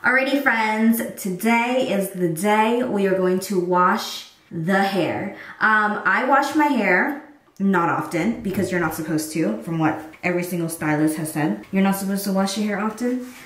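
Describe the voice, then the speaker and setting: A young woman chats her way through a casual vlog, rambling with lots of fillers. Her voice sits at a medium pitch. It is picked up from a little way off in a roomy, echoey space. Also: normal speed, fairly bright tone. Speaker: lifestyle vlogger. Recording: roomy, mic at a distance.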